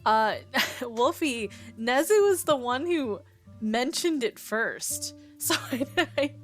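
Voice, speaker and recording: A woman's voice: high-pitched, animated, slow pace. A young woman with a Midwestern American accent, chatting casually with her stream viewers, giggling and rambling as she reads chat aloud. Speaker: Twitch streamer. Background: faint music.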